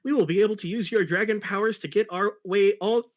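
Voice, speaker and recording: Man acting out a young cartoon fox sidekick, high-pitched, excitable, gushing, exclaiming. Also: fairly quick, muffled recording, close to an everyday voice. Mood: gushing excitement.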